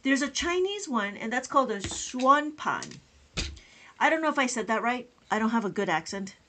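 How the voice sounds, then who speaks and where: Woman, fairly high voice, friendly live-stream teacher, counts aloud, greets viewers. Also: lively intonation, normal speed, fairly bright tone, slight background noise. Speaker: abacus teacher. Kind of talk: live-stream math lesson.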